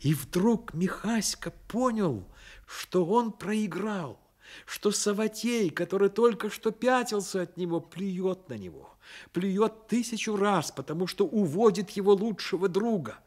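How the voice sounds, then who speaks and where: A man narrating a Soviet radio play dramatically, with intense, measured storytelling. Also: high voice, expressive, darkish tone. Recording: old radio recording with noisy effects behind.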